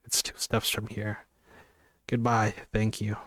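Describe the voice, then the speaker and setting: A man's voice: lowish voice, completely flat delivery, slow pace, a bit bright, no echo. A reserved young woman, read by a man. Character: reserved, gentle young woman, wary yet kind. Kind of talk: reading a classic novel aloud.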